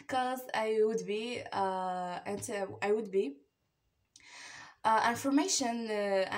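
An eighteen-year-old woman from Morocco with a Moroccan accent gives a motivation speech to camera in hesitant, halting non-native English. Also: on the high side. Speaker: university applicant. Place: small plain indoor room.

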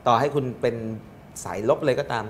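A middle-aged man, a studio talk show guest, explaining with lively analogies and rising emphasis. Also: medium pitch, brisk, a little hiss or hum. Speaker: guest, trade chamber secretary.

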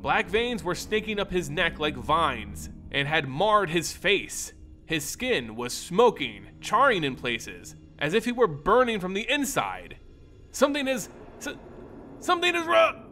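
Male storyteller for scripted fantasy. Expressive, dramatic, a little higher-voiced, lively intonation. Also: a little slow, faint music behind. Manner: theatrical, expressive.

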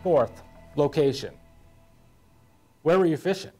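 A man's voice: a little high, takes it slowly, dark tone. A man in his thirties with an American accent, giving a scripted instructional presentation as a conservation officer. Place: indoor room beside whiteboard. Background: music.

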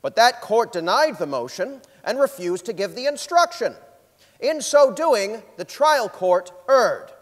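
A young man playing a stern, indignant supreme court justice in a formal, declamatory dramatic reading. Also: sits high. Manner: solemn, declamatory, emphatic. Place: large theatre stage, indoors. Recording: reverberant theatre hall.

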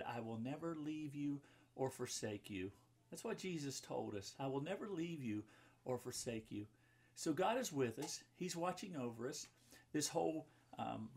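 A man in his fifties, a pastor reading and talking to children in simple, explanatory phrases as in a Bible story time. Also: mid-range voice. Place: book-lined room indoors.

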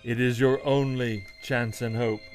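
A man's voice: medium pitch, steady tone, a little slow, faint music behind, lightly acted. A man playing a grave, ominous ghost giving warnings in a radio play. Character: solemn ghost of a dead business partner, warning. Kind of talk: old-time radio play acting.

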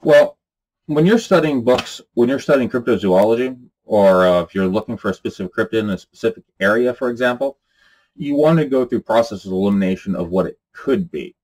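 A man's voice: on the low side, brisk, a little mellow, low-quality recording. A man in his forties talking casually to camera from a small room lined with bookshelves, rambling earnestly with lots of fillers. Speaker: channel host.

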